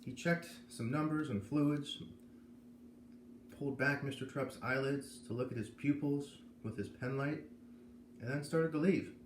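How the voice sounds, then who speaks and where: A man's voice: medium pitch, unhurried. A man narrating a children's novel aloud as a read-aloud host. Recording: roomy echo, faint background noise.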